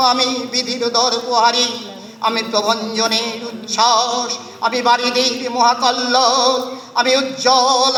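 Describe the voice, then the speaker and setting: A man's voice: very high-pitched, pushed and bright. A man reciting poetry in a dramatic, declamatory style, with emphatic, incantatory delivery in short phrases. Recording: echoey hall reverb, distant voice.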